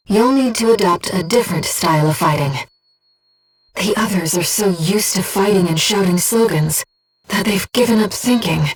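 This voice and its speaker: A woman voicing a gentle, earnest disembodied being in a video game, guiding a pilot with composed, precise, softly formal delivery. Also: medium pitch, breathy edge, far from the mic, close to an everyday voice, poor audio. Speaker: mission support operator. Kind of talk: scripted video game voice acting.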